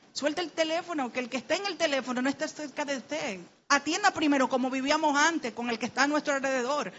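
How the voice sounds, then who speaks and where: A woman's voice: sits high, a little hiss or hum. Dominican lady on a panel, earnest and urging, dominican accent, kinda muffled lo-fi sound. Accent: Dominican Spanish. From Dominican Republic. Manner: earnest, heartfelt, grows urgent and exhorting. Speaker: panel speaker. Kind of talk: personal testimony in a panel talk.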